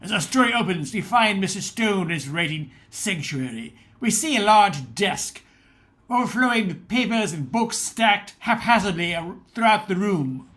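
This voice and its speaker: High, bright radio storyteller, acted by a man. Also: expressive, normal speed. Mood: theatrical, inviting. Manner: formal, theatrical storytelling. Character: theatrical male host-narrator of radio mystery tales. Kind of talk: old-time radio narration.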